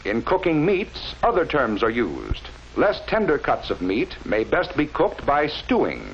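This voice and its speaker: Higher-pitched 1940s instructional film man, dull soundtrack. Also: huge pitch swings, a little hiss or hum. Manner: measured, didactic, enunciated. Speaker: training film narrator.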